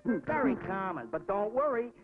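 A man's voice: high-pitched, sing-song, normal speed. A man voicing a jittery, gossipy veteran navy airplane in a 1940s cartoon, stammering and repeating words in a folksy way. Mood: nervous, knowing. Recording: tinny 1940s film soundtrack with music.

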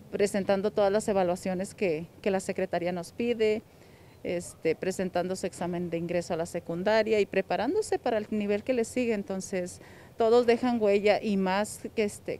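Teacher lady talking outside into a reporter mic, mellow voice, faint street noise. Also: mid-range voice, fairly even intonation, normal speed. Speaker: sixth-grade teacher. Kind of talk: street interview. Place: outdoors on a city sidewalk. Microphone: handheld reporter mic.

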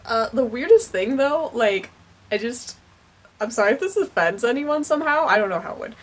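A woman's voice: a little high, lively intonation, normal speed. A teenage girl vlogging, rambling and self-conscious, with lots of fillers and giggles. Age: teens. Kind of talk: casual chat. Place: car while driving, then a bedroom.

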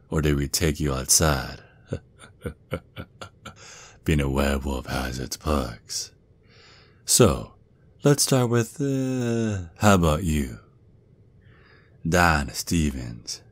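A man's voice: rumbling low, no echo, exaggerated voice. A man playing a menacing, mocking, protective werewolf father with a Southern US accent, drawling and taunting in an ASMR roleplay. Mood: smug, taunting. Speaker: audio roleplay performer.

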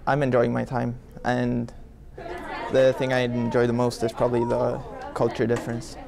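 A man's voice: mid-range voice, completely flat delivery, moderate pace, gravelly. A teenage young man from Sweden with a Swedish accent, answering as an exchange student. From central Sweden. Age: teens. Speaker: exchange student being interviewed. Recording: busy room chatter behind.

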